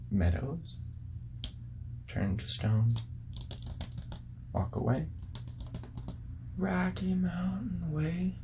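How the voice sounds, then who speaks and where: A man soft-speaking and whispering in an ASMR role-play as a laid-back, friendly record store clerk, repeating phrases in casual sales patter. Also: medium pitch, creaky, background noise.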